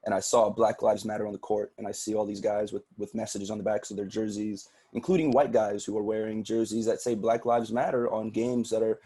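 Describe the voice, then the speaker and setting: Husky, mellow-voiced male podcast guest. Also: on the low side, little pitch movement, brisk, few pauses. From Sudan. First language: Arabic. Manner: earnest, thoughtful, repeats words when starting. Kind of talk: casual interview talk.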